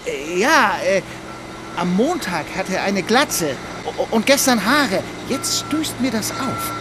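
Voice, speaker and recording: Gruff, hesitant shopkeeper, high voice, traffic behind. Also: male voice, big pitch swings, takes it slowly, heavily acted. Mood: grumpy. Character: grumpy prejudiced male shopkeeper. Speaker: drinks shop owner. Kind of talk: radio drama acting. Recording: radio play with street noise.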